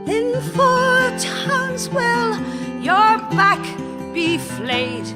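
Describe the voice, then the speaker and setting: Mournful, high-voiced ballad singer. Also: female voice, very animated, extremely slow, heavily acted. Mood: plaintive. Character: mournful female ballad singer telling the tale. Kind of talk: sung folk ballad narration in radio drama. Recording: plucked guitar under the voice. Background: plucked string instrument.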